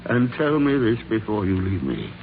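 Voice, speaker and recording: An actor playing an old miser named for repentance, with halting, exclamatory delivery in a 1940s radio drama. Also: male voice, fairly low pitch, wildly swooping pitch, gravelly, slight background noise, exaggerated voice. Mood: fearful, pleading. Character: frightened, repentant old miser turned giddy with joy. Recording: tinny, band-limited 1940s radio recording.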